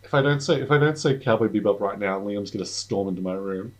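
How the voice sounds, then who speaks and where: A man's voice: fairly low pitch, fast talker. A young man chatting casually as a podcast guest, rambling with lots of filler words and restarts. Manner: rambling, many filler words and self-corrections. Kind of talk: casual chat.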